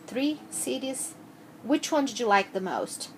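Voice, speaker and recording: A woman interviewing a child, asking gentle, patient questions with frequent hesitations. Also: a little high, expressive, a little slow, a little hiss or hum, low-quality recording.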